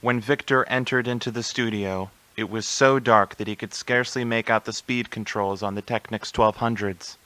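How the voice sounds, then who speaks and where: A man's voice: fairly low pitch, steady tone, moderate pace, hardly any pauses, bright, forceful tone, no echo, rough recording. A man playing the narrator of a radio play, reading scripted storybook narration. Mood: measured storytelling. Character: storybook narrator of a comic Christmas tale.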